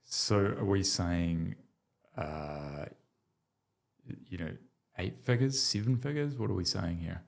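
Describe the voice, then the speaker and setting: A man's voice: low-pitched, slow, gravelly, a little mellow. A man hosting a podcast, asking encouraging, probing questions in casual chat. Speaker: podcast host.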